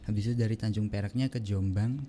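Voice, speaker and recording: A young man hosting a podcast interview, relaxed and chatty, often repeating the guest's answers back. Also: lowish voice, quick pace, a little hiss or hum. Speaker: podcast host.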